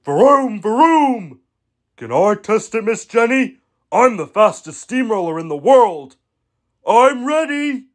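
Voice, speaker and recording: A man voicing an eager, boastful, childlike steamroller in a children's cartoon, excitable and playful. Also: very high voice, slow, heavily acted. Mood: excited, wistful. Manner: excitable, playful, makes engine noises. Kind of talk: animated children's show character acting.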